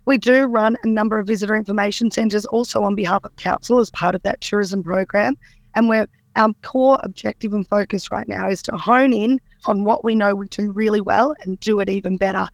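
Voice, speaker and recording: An Australian woman who runs a tourism organisation, answering radio interview questions in an upbeat, promotional way with a croaky voice. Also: medium pitch, steady tone, a little fast, no echo. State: croaky voice after a weekend event.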